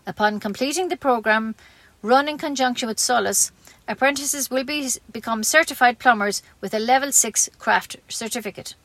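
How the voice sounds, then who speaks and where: A dry, close recording of an Irish woman reading community radio news. Her voice sits a little high, and she delivers scripted bulletins as a local newsreader. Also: moderate pace, a bit bright, dry recording.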